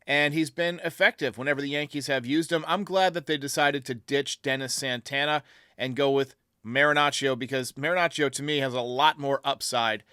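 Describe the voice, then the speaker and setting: Bright, forceful male sports show host. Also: medium pitch, no room sound, studio quality. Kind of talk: baseball commentary answering voicemails.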